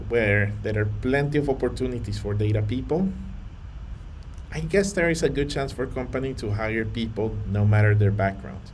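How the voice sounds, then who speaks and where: A man from Colombia, earnest and measured, talking to camera with a few fillers and restarts. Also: medium pitch, darkish tone, a little hiss or hum, low-quality recording. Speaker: vlogger on data careers. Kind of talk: to-camera talk.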